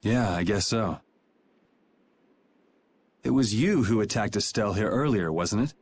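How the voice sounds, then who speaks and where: A man's voice: fairly low pitch, animated, unhurried, slightly rough. A man voicing a laid-back, perceptive young hero in a video game, with a casual, wry manner. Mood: calm, probing.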